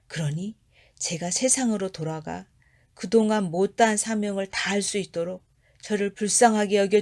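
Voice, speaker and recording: A frantic male magistrate from a storybook folktale, as a woman narrator acts him out, stammering and begging with his voice pushed high and loud. He pleads without letting up. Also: close to an everyday voice.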